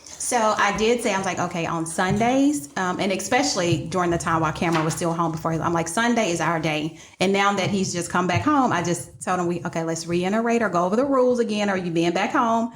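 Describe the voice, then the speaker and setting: A woman's voice: fairly low pitch, fast talker, a little hiss or hum. A woman telling personal anecdotes in a casual, conversational group chat. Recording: roomy echo around the mic.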